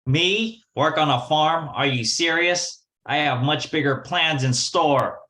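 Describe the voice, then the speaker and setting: Scheming small-time gambler, 1940s radio play, wisecracking, bright forceful delivery, male actor. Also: on the high side, slow pace. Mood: pleading, cocky. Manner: wisecracking, slangy. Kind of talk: 1940s radio detective acting.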